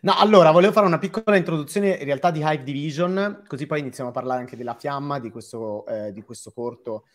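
Higher-voiced man hosting a casual chat. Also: quick pace, hardly any pauses. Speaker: host. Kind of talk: casual live chat interview.

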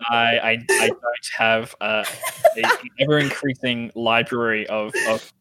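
A man with an Australian accent in casual discussion, explaining things in a halting, repeating way. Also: medium pitch, fairly even intonation, noisy background. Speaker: podcast host and game master.

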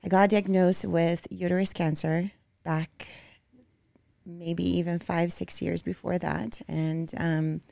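A woman with a Brazilian accent speaks with a gravelly, fry-tinged voice at a slow, deliberate pace. She sounds earnest and open, and livens up on topics she loves. The recording is dry and close. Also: on the low side, flat delivery, dark tone, no room sound. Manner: earnest and unhurried, turning spirited about soccer. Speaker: guest, pro figure competitor. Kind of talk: casual interview chat.

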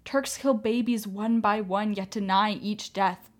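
A woman's voice: mid-range voice, fairly even intonation, measured pace, lightly acted. A woman acting in a radio play as an anguished, defiant young Armenian mother, pleading with feeling. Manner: impassioned, pleading.

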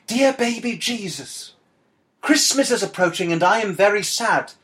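Man playing a sad little girl, storybook reading, solemn, melodramatic, raised pitch. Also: animated, unhurried, a bit bright. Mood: solemn pleading. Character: earnest, sad seven-year-old girl reciting her Christmas letter.

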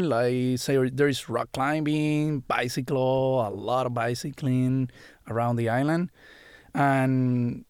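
A man's voice: mid-range voice, a little mellow, clean tone, no echo, studio quality. A man from Puerto Rico with a Puerto Rican Spanish accent, a guide answering interview questions in a friendly way with uneven English, often laughing. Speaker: outdoor trip guide. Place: radio studio.

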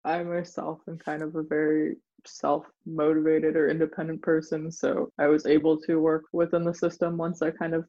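A man answering interview questions in a casual, chatty way, chuckling often and hedging with soft qualifiers. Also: on the high side, monotone, normal speed, few pauses. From United States. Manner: chuckles often, hedges with "maybe" and "kind of". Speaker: interview guest, former exchange student. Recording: dull lo-fi recording.

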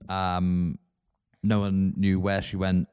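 A man's voice: low-pitched, completely flat delivery, slow, darkish tone, smooth. A man co-hosting a podcast, chatting casually in a jokey, rambling way and laughing easily. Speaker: podcast co-host.

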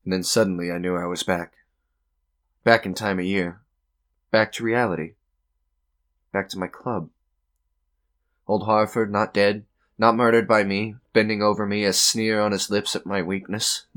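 Casting: male actor for tormented, unhinged narrator of radio suspense drama; low voice, slow halting delivery, theatrical intensity, music underneath. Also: steady tone, a bit bright, natural voice. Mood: haunted, bitter. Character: tormented, unhinged man recounting his own doom.

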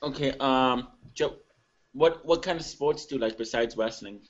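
A man's voice: medium pitch, highs cut off. Hesitant man in his thirties. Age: 30s. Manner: hesitant, halting, lots of ums. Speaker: co-host. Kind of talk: casual interview talk.

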